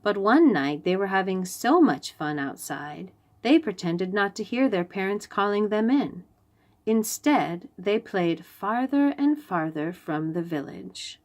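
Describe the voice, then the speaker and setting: A woman reads a picture book aloud to young children. Her voice sits at a medium pitch and she goes slowly, giving key phrases deliberate, expressive weight. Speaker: storybook reader for young children. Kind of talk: children's read-aloud storytelling.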